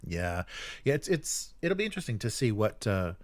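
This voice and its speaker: A man hosting a tabletop gaming livestream, chatting casually and reading out viewer comments in a friendly, informal, halting way. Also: lowish voice, big pitch swings, no echo.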